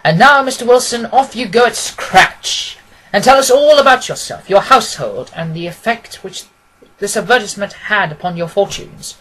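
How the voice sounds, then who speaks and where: A woman voicing a confident, quick-witted gentleman detective from a storybook, precise and amused. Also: mid-range voice, lively intonation, a little hiss or hum, voice pushed up, close to an everyday voice, low-quality recording. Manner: precise, expository, assured.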